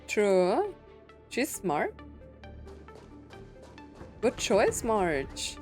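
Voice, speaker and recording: A woman game streamer giving casual playthrough commentary in short, unhurried reactions and read-out dialogue. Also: medium pitch, huge pitch swings, a little mellow, soft background music.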